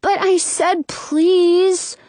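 A woman's voice: very high-pitched, expressive, a little slow, no room sound, voice pushed up. A woman voicing a cheeky little brother in a storybook, whiny, blunt and insistent. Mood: eager, whiny.

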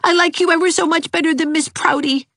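A woman reading aloud, voicing a spoiled little girl twin in a very high, piping voice. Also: steady tone, fast talker, a bit bright, dry recording, studio quality. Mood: gushing.

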